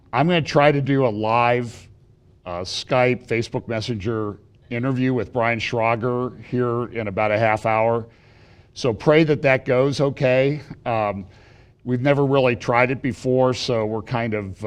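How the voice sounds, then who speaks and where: A middle-aged man, slightly raspy from feeling unwell, giving a rambling prophecy update at church with many fillers and restarts. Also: medium pitch. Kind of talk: casual prophecy update talk.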